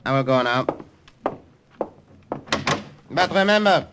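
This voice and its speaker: An actor playing a stern, suspicious commandant with a formal, authoritative manner in an old radio drama. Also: male voice, mid-range voice, lively intonation, slow pace, a bit bright, a little hiss or hum. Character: stern, suspicious male German military commandant.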